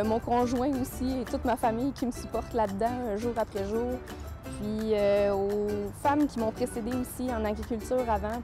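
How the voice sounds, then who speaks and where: A young Québécois woman in her twenties with a fairly high voice. She speaks with deliberate determination and clear music plays behind her. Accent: Québécois French. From Quebec. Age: 20s. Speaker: award honoree. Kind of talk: prepared testimonial.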